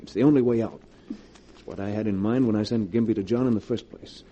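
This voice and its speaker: An actor playing a scheming male lover in a 1940s radio drama, measured and insinuating as he plots. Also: on the low side, steady tone, warm tone. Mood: calmly scheming. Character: smooth, scheming male lover coolly planning murder. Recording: dull, hissy 1940s radio recording.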